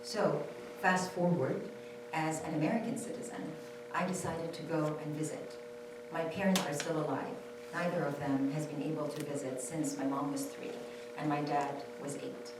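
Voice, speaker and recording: A woman with a low voice tells her own story in earnest, repeating key phrases to stress them. She is heard from far off in a strongly echoing hall, with room noise clearly audible behind her. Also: fairly even intonation, normal speed, hardly any pauses. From Saudi Arabia. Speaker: panelist. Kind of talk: personal testimony at a panel. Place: temple hall.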